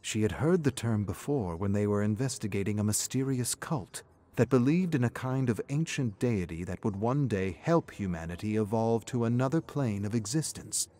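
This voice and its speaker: A man's voice: on the low side, animated, moderate pace, warm tone. A man giving a scripted, dramatic narration of a story.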